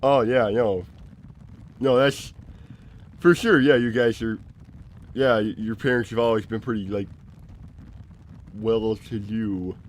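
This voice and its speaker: A man's voice: on the low side, slow pace, pauses often, rough recording. A man playing an awkward, well-meaning cartoon walrus pal in an improvised livestream, hesitant and rambling as he stammers along. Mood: incredulous. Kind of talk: improvised in-character livestream roleplay. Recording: clear background noise.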